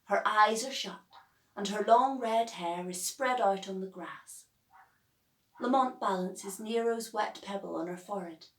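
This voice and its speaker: A woman narrates a children's novel with measured, expressive phrasing. Her pace is slow and her pitch is average. The room echoes around her, and she sounds slightly distant from the microphone. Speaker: author reading own novel.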